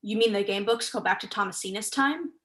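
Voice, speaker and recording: A young woman playing a brisk, probing, sceptical writer in a staged play reading. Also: medium pitch, fairly bright tone, close to an everyday voice. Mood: curious. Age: young adult.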